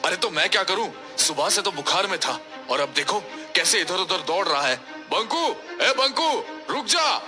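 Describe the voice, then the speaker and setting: A man's voice: sits high, big pitch swings, normal speed, over music. A man voicing a worried, exasperated village father in a cartoon story, with an earnest, anxious manner. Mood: exasperated alarm.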